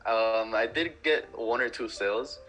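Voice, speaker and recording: A man's voice: mid-range voice, unhurried, a bit bright, rough recording. A young man hosting a livestream in laid-back, slangy casual chat, with lots of swearing. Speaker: livestream host. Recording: faint background music.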